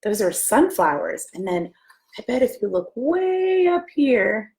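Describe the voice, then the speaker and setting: An American woman, a pastor, reading a children's story aloud with gentle, simple asides and questions for young listeners. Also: a little high, sing-song, measured pace. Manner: gentle, simple questions addressed to small children, often hushed.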